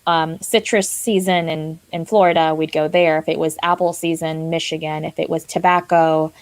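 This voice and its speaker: A woman from Texas, a guest in casual panel talk, measured and reflective. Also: deep voice, fairly even intonation, no room sound. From born in Texas, grew up moving across the US South.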